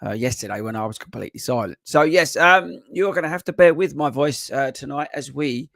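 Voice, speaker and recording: Hoarse running coach rambling through a live stream, lots of ums, dry close mic. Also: male voice, medium pitch, lively intonation, brisk, a bit bright, no echo. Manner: chatty, rambling with many fillers. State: hoarse, voice just coming back. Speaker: running coach and podcast host. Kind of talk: casual live-stream chat. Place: home desk.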